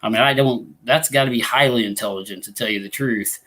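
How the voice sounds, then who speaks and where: A man's voice: mid-range voice, brisk, bright, forceful tone. A man from Illinois hosting a live talk show, chatting casually and rambling with many fillers and restarts. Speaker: ghost hunting group host.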